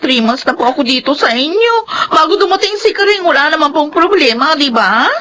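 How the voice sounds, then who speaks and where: Filipino maid in a radio drama, high pleading voice, noisy clicky recording. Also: female voice, animated, brisk, pushed and bright. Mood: defensive, nervous. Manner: pleading, deferential to employers. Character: defensive, pleading young housemaid caught stealing.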